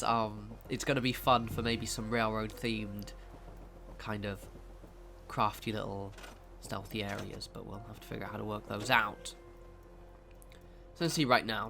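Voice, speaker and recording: A man's voice: lowish voice, gravelly, a bit bright. A man, nasal and stuffed up from a cold, talking through a game building tutorial in a chatty, rambling way that keeps correcting itself. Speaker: game video creator.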